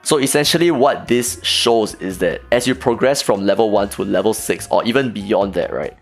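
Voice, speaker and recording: Young male gaming host, hoarse and gravelly, casual walkthrough tone, faint music bed. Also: on the low side, normal speed. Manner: talks through a spreadsheet, pointing out numbers. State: hoarse, losing his voice. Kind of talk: casual game strategy walkthrough.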